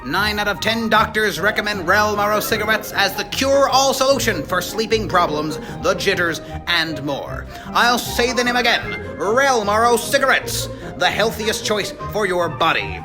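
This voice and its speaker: A woman playing a cheerful old-time cigarette advertiser, delivering a polished parody radio sales pitch. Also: expressive, moderate pace, lightly acted, poor audio. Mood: upbeat. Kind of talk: parody vintage radio commercial. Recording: loud music under voice.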